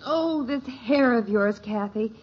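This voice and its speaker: A woman's voice: on the high side, slow. A middle-aged woman playing a practical, fussing housewife mother in a 1950s radio sitcom, mildly exasperated. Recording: muffled, noisy old radio recording with clicks.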